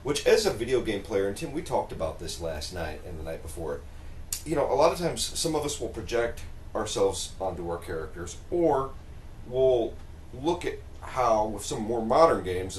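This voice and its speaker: A man talking casually on a panel, explaining his opinions at length and deliberately. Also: lowish voice. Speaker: podcast co-host. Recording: roomy echo, faint background noise.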